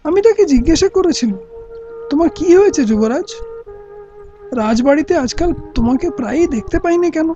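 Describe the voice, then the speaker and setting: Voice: high-pitched, expressive, moderate pace, warm tone. An actor playing a candid male royal minister in an audio play, counselling his king in formal, reasoned, respectful speech. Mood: respectful, explaining. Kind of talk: scripted Bengali audio play acting. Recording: dull lo-fi recording with music.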